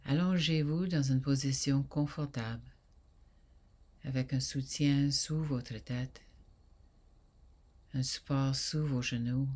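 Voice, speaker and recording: A woman guiding a sleep meditation in a soft, soothing voice that often drops to a breathy near whisper, with long pauses. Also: rumbling low, steady tone. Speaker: yoga nidra guide.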